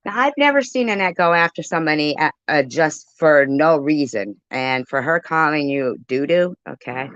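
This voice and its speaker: A woman's voice: low voice, expressive, normal speed, hardly any pauses, a bit bright, dry recording, lo-fi sound. A woman doing casual reaction commentary in an accusatory, sarcastic way. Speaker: commentary video host. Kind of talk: casual reaction commentary on a live stream clip.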